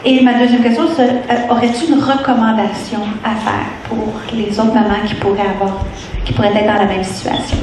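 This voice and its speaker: A woman's voice: on the high side, flat delivery, normal speed, background noise. Encouraging woman moderating a panel. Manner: encouraging, asks questions. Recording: echoey room, mic far off.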